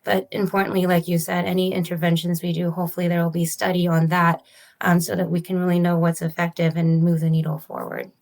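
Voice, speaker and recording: A woman's voice: on the low side, level tone, fast, gravelly, a little mellow. A woman physician and researcher, interviewed as a guest, explaining her study in a careful, methodical and formal way. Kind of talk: measured academic explanation.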